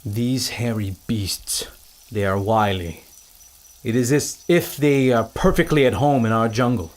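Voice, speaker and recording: A man's voice: mid-range voice, lightly acted. A man playing a stately island king. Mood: grave. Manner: measured, formal and stately speech. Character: dignified island king, grave and regal. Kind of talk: audio drama acting.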